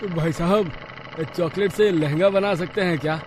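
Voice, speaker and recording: A man's voice: sits high, fairly even intonation, moderate pace, noisy background, natural voice. A man playing a humble middle-aged father, anxious and pleading, in a dramatic story. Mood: earnest. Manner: emotional, pleading. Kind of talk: dramatic Hindi animated story acting.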